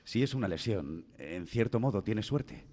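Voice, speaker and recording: An actor with a Castilian Spanish accent playing an authoritative, reassuring doctor in a radio drama. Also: male voice, fairly low pitch, expressive, moderate pace, mellow. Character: calm, authoritative male doctor reassuring a worried mother. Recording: reverberant studio radio drama.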